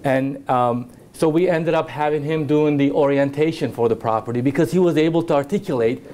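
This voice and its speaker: A man's voice: fairly high pitch, fairly quick, a little mellow. A man speaking as a hotel industry panelist, talking with frequent stammered restarts. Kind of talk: conference panel discussion.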